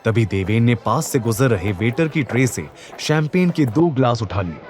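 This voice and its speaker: A man's voice: medium pitch, expressive, moderate pace, a little mellow. A man narrating a scripted dramatic audio story as its narrator. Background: background music.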